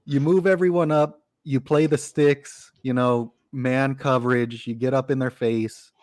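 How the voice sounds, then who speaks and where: A man's voice: mid-range voice, fairly even intonation, darkish tone, no echo, clean studio sound. A man hosting a sports podcast, talking football casually with lots of "you know" fillers. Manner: conversational, uses "you know" fillers, quick to laugh. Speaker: podcast host.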